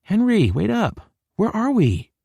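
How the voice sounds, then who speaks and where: A man's voice: sits high, huge pitch swings, unhurried, vocal fry, warm tone, slightly rough, dry recording, studio quality, voice pushed up, exaggerated voice. A man voicing a flustered, teasing young woman who is feeling sick, in an audiobook story. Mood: anxious.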